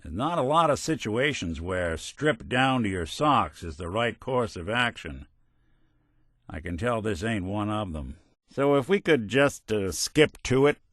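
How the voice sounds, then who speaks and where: Low-voiced, wisecracking weary robot detective. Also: male voice, no echo, clean studio sound. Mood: earnest, urging. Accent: American. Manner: wry, deadpan, sardonic asides. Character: world-weary, wisecracking synthetic robot detective, middle-aged male. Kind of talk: video game companion dialogue, hard-boiled noir.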